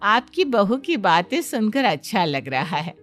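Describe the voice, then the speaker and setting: A woman's voice: medium pitch, big pitch swings, a little slow, a bit bright, soft background music. A woman voicing an approving, reassuring female doctor who chats and advises in a Hindi cartoon story. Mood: approving, chatty. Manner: chatty, advisory.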